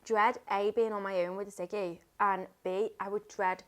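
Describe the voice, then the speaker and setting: A woman's voice: medium pitch, moderate pace. Woman, thirties, podcast co-host, talkative, laughs a lot, casual chat. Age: 30s. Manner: chatty, animated storyteller, laughs often.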